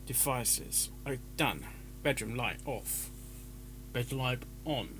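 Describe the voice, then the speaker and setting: A man's voice: medium pitch, slow, noisy background. A man chatting casually as a live-stream reactor, stumbling over his words and interrupting himself. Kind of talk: casual live-stream chat with rehearsed intros.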